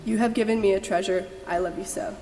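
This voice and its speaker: A woman's voice: medium pitch, fairly even intonation, fast talker, gravelly, warm tone. A young woman making a prepared announcement to a church congregation. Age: young adult. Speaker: youth service song leader. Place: large church sanctuary. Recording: hall reverb, noisy room.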